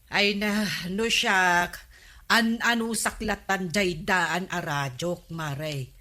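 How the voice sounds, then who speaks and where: An actress playing a nagging, practical village housewife in an Ilocano radio comedy drama, with an Ilocano accent. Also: female voice, fairly low pitch, takes it slowly, pushed and bright. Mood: gossipy.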